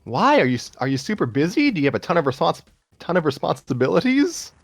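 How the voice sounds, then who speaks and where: Man putting on an excitable, swooping young woman. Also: fairly high pitch, fast talker, no room sound, soft background music, voice pushed up. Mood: surprised. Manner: breezy, eager, animated. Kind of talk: story character reading.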